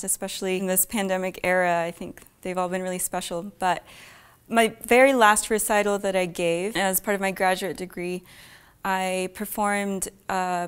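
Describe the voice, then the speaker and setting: A young woman from the United States talking casually in an interview, soft-spoken, with light laughs and thoughtful pauses. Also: medium pitch, clean tone. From Fairfax, Virginia. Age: young adult. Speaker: young artist pianist.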